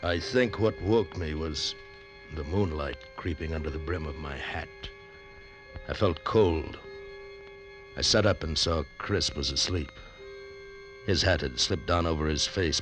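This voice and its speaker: A hard-bitten adventurer, with a very deep voice and terse, clipped narration. Music plays clearly under the old radio broadcast. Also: male voice, unhurried. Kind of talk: 1940s radio acting.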